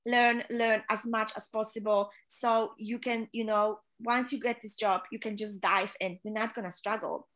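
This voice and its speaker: A woman's voice: fairly high pitch, level tone, moderate pace, few pauses, a bit bright. A woman from Poland with a Polish accent, a non-native English speaker, giving earnest, direct career advice as an interview guest, with frequent filler sounds. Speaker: chief stewardess, interview guest.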